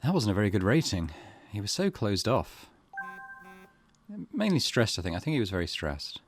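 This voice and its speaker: Lowish-voiced man musing, lively intonation. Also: normal speed, soft background music. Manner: musing, tentative, conversational. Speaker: gaming channel host. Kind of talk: casual let's play commentary.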